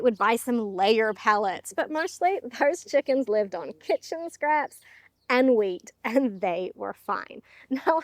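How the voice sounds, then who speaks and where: Woman, middle-aged, New Zealand accent, fairly high voice, chatty, down-to-earth, casual explainer. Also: a little mellow, dry recording, clean studio sound. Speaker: video host, chicken keeper.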